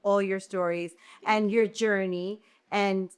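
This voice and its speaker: A woman's voice: medium pitch, steady tone, slow pace, smooth. A woman co-hosting a real estate podcast, encouraging and affirming her guest. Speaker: podcast co-host. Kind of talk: casual interview.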